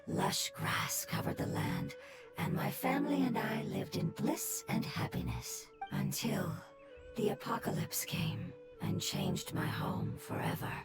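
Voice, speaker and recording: A woman voicing an ancient fungus-like creature from a game, speaking in a breathy, solemn whisper. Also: high-pitched, wildly swooping pitch, unhurried, heavily acted. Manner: solemn, storytelling. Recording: loud game music behind.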